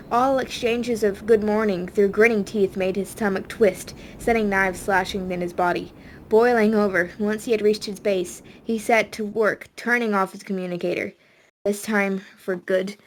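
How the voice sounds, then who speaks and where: A woman's voice: mid-range voice, fairly even intonation, moderate pace, slight background noise, poor audio. A woman reading a scripted audiobook story as a dramatic narrator.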